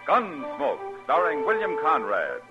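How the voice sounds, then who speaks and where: Dramatic American male announcer over loud music. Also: a little high, wildly swooping pitch, unhurried. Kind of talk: dramatic 1950s radio program introduction. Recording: muffled vintage radio recording, loud music.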